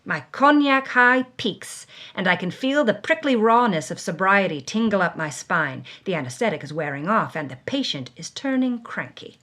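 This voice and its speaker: A woman's voice: medium pitch, sing-song, normal speed, hardly any pauses, a bit bright. An actress playing a hard-bitten, world-weary woman reading her journal with wry, dramatic emphasis, as first-person audio drama narration. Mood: defiant, rattled.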